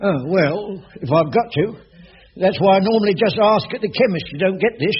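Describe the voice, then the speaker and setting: An actor playing a grumbling, evasive old caretaker in a radio sitcom, with a working-class English accent and a stammering, blustering manner. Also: male voice, sits high, brisk, close to an everyday voice. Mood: chatty, bumbling. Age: older. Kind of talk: broadcast radio sitcom acting. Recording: muffled old radio tape, audience, hiss.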